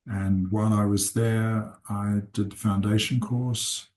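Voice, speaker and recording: A man in his seventies, born in England and raised in New Zealand, calmly introducing himself and his life story, pausing often. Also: on the low side. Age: 70s. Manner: slow, unhurried, with frequent "uh" pauses. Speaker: seminar teacher and consultant. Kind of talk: unscripted personal introduction.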